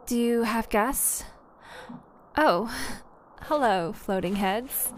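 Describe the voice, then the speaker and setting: A woman's voice: a little high, very slow, slight background noise. A woman playing a charismatic young newcomer, polite and a little hesitant but playful, in an audio drama. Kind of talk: naturalistic audio drama acting.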